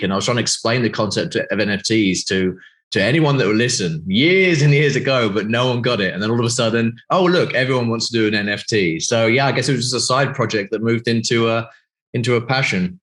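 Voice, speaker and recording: A man's voice: lowish voice, a bit bright. A British man in his forties from the UK, answering interview questions in a loose, rambling way with many fillers and restarts over a video call. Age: 40s. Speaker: game studio CEO.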